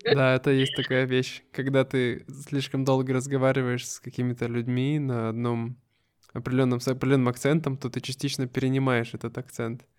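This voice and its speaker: A man hosting a casual podcast, relaxed and agreeable, chatting easily with his guest. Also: mid-range voice, fairly quick, clear, resonant tone. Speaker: podcast host.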